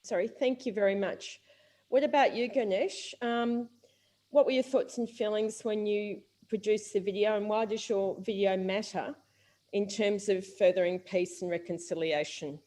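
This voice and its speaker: A woman's voice: medium pitch, fairly even intonation, normal speed, mellow. A woman moderating an online panel, asking brief, polite questions. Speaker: webinar moderator.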